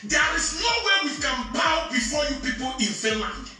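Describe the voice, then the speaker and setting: A man's voice: very high-pitched, big pitch swings, normal speed, bright, forceful tone. A man with a Nigerian Igbo accent in a heated, confrontational rant, repeating himself and throwing insults. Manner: agitated, insulting, confrontational, repetitive. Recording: distant, echoey recording with music underneath.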